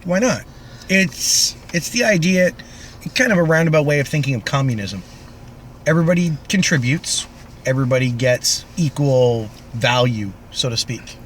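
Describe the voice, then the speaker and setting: A man's voice: mid-range voice, expressive, moderate pace. A young man co-hosting a podcast in dry, laconic, casual and sweary chat. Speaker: podcast co-host. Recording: background noise.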